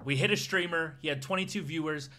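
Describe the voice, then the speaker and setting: A young man hosting a video, chatting with strangers online in a loud, teasing, hyped way from a small room with a headset. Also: on the high side, fast talker, very noisy. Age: young adult. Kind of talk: casual online chat.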